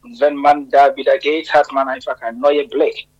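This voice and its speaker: A man in his thirties from Ghana, a musician talking as a radio interview guest, relaxed and repeating words as he speaks German with slips. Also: mid-range voice, normal speed. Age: 30s. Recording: dull lo-fi sound.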